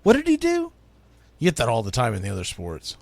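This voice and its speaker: A man's voice: mid-range voice, huge pitch swings, fast, creaky, dry recording. A man hosting sports radio, talking informally and emphatically through an opinionated countdown in a conversational way. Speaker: sports radio host, baseball play-by-play announcer.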